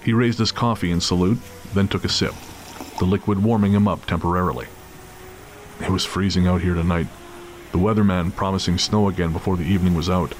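A man's voice: low-pitched, a little hiss or hum. A man narrating a scripted audio drama as storyteller.

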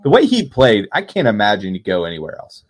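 A man giving rugby opinions in casual podcast chat, with quick restarts. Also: on the low side, wildly swooping pitch. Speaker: podcast guest.

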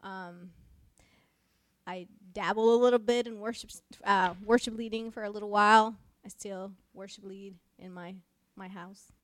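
A woman's voice: mid-range voice, fairly even intonation, moderate pace, fairly bright tone. A woman from Mexico with a light Spanish accent, Spanish her first language, chatting as a podcast co-host and pastor, laughing often and speaking with passion. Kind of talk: casual chat.

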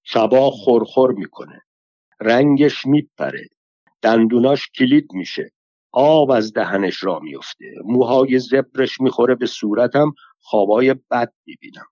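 A man doing a gruff, bossy cart driver. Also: medium pitch, dark tone, no room sound, close to an everyday voice. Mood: complaining. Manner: rough, blunt, threatening. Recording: slightly dull lo-fi dry recording.

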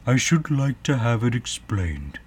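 A man voicing a mournful, querulous Mock Turtle, a sentimental storybook creature with drawn-out, doleful delivery. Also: medium pitch, sing-song, slightly rough, pitched above the performer's own voice. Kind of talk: storybook character acting.

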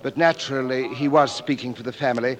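A man's voice: medium pitch, fairly even intonation, fast talker, natural voice. Guy acting a polite english butler in old radio drama, dignified, hesitant, very proper. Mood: formal, apologetic. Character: mild, dignified, deferential English manservant.

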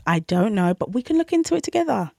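Thirties woman doing a solo parenting podcast, bit of vocal fry, dry close sound, chatty and thoughtful. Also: mid-range voice, sing-song, fast, warm tone, no room sound. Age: 30s. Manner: conversational and reflective, openly self-questioning. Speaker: podcast host.

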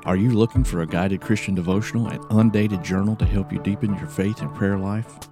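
Fast-reading male podcast host. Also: lowish voice, steady tone, music in the background. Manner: smooth, upbeat promotional reading. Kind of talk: read sponsor message.

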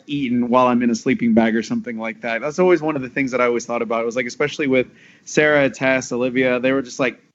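A man's voice: mid-range voice, a bit bright. A man on a panel chatting in a laid-back, rambling, casual way. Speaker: voice actor on a panel. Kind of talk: casual chat. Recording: dull lo-fi audio.

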